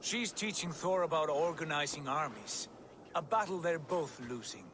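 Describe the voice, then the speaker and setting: A man voicing a calm, pragmatic warrior god in a video game, speaking firmly and reasonably. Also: fairly high pitch. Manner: firm, reasoning, measured. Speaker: game character. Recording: game audio with steady noise.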